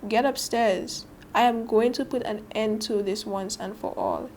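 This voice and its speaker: A woman's voice: fairly high pitch, a little slow, a little mellow, a little hiss or hum. A teenage girl with a Saint Lucian accent voicing a stern, impatient father in a storybook reading, clipped and commanding. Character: stern, impatient father scolding his daughter.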